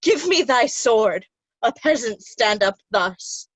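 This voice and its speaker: A woman's voice: fairly high pitch, lively intonation, takes it slowly, fairly bright tone, breathy edge, no room sound, full character voice, poor audio. A woman playing a vicious, haughty noblewoman in a readers' theatre reading of Shakespeare. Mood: scornful. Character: vicious, haughty noblewoman Regan, cold and scornful.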